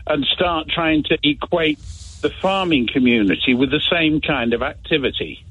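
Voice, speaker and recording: Husky guy on a phone line, lecturing and rude, tinny sound. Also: fairly high pitch, normal speed, no echo. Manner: combative, lecturing, insulting. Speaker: caller.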